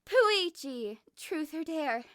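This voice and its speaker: A woman voicing a loud, brash teenage girl inventor in a fan fiction reading, yelling at a higher pitch. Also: big pitch swings, takes it slowly, dry recording, studio quality, exaggerated voice. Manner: stuttering then yelling.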